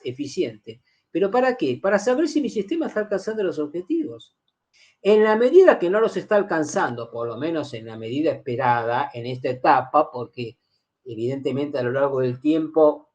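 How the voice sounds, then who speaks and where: A middle-aged man giving a university lecture, explaining step by step, repeating key phrases and checking in with his students. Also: fairly high pitch.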